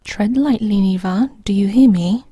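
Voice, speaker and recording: A woman playing a loyal, protective friend in an audio drama, speaking with gentle firmness in a soft, breathy voice. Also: mid-range voice, moderate pace, dry recording, studio quality, close to an everyday voice. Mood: firm, warning. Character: protective, loyal female friend, steady and consoling.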